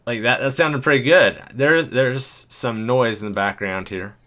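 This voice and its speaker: A man with a deep voice, talking casually and thinking aloud as he walks through an editing tutorial, chuckling now and then. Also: a little slow, a bit bright. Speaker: video creator.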